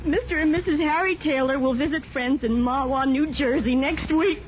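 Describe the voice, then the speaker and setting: An American actress playing Daisy, a wounded, nagging wife who craves respectability, plaintive and insistent in 1950s radio drama style. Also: female voice, high voice, moderate pace, a little hiss or hum, lightly acted. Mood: hurt, tearful. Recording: muffled vintage radio recording.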